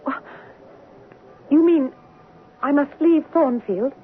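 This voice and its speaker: An actress playing an earnest, proud young English governess in a radio drama, polite and restrained with deep feeling underneath. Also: female voice, slow. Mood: dismayed. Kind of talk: 1970s radio drama acting. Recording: tinny, faintly noisy 1970s radio broadcast.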